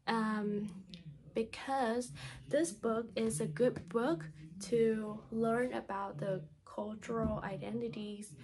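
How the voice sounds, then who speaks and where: A woman speaks in careful, halting non-native English, in the style of a teacher reading a children's storybook aloud. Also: a little high, poor audio.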